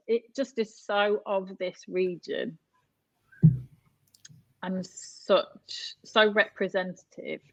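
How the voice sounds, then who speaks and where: A woman's voice: low voice, no echo, dull audio. A woman from North West England chatting casually as a guest on a podcast. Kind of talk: casual interview chat.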